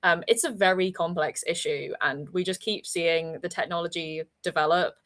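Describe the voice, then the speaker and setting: A woman's voice: lowish voice, normal speed, a bit bright. A woman hosting a webinar over a video call, talking in a chatty, enthusiastic way and often laughing. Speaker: webinar host. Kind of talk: casual webinar chat.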